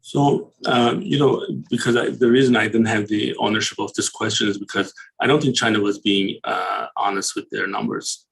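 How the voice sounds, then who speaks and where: Gravelly male host. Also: fairly low pitch, fairly even intonation, fairly bright tone, low-quality recording. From Turkey. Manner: halting, meandering phrasing. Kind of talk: casual interview questions.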